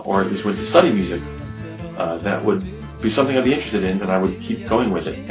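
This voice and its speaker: A middle-aged American man giving a reflective documentary interview, seated in a dim studio. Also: low-pitched. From United States. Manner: reflective, gestures while talking. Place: dim studio with acoustic panels. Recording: roomy interview with music behind.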